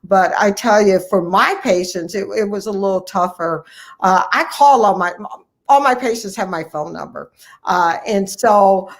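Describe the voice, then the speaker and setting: A woman's voice: mid-range voice, normal speed. An American woman, a physician guest, giving earnest, emphatic and conversational answers in an interview. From United States, Ohio. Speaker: physician guest and medical association leader.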